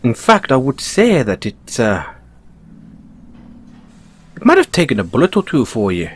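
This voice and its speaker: A man acts out a curious Irish bunny-boy mechanic from an audio roleplay. His pitch swings widely as he talks, and the voice has a slightly husky edge. He is polite and gently nosy, picking his words with wry understatement. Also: wildly swooping pitch, measured pace, exaggerated voice. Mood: tactful, wry. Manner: gently probing, wry understatement, hesitant phrasing.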